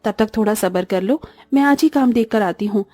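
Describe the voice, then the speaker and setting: A woman's voice: medium pitch, fairly quick, darkish tone, no echo, close to an everyday voice. A woman voicing a burdened but caring orphaned eldest sister, a young woman in a cartoon story, speaking wearily and reassuringly. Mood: sad, reassuring. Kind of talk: Hindi cartoon story voice acting.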